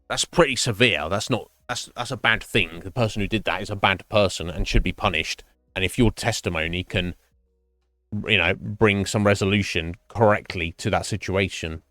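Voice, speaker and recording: A man streaming live and chatting with viewers, thinking his answers through in a halting, thoughtful way. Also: on the low side, fairly bright tone, dry recording, studio quality. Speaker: Twitch streamer.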